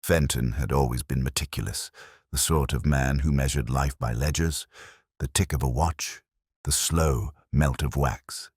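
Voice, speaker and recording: A man playing a melancholy, literary police detective, recounting a case in a measured, solemn, reflective way as scripted audio mystery narration. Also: very deep voice, fairly even intonation, darkish tone, husky, no echo, clean studio sound, exaggerated voice. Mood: brooding, reflective.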